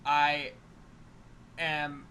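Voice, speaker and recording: A man with a slightly high voice co-hosts a gaming podcast. He talks in a casual, conversational style over faint background noise. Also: monotone, very slow, pushed and bright. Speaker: podcast co-host. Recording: faintly noisy amateur podcast recording.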